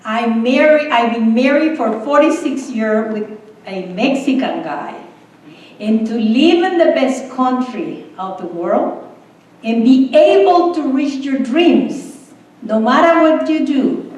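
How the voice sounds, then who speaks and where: A woman, speaking slowly as president of a school board meeting. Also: fairly high pitch, darkish tone. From El Salvador. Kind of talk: public meeting remarks. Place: meeting room. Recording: echoey room, distant mic.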